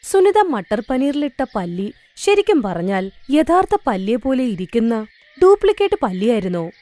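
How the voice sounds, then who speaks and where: High, expressive woman storyteller. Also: moderate pace, no room sound. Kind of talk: scripted children's story narration.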